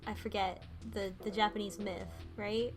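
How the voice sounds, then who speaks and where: A woman's voice: medium pitch, little pitch movement, normal speed. A woman co-hosting a podcast, casually recapping a game and voicing characters' lines in passing. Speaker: podcast co-host. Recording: music playing behind the talk.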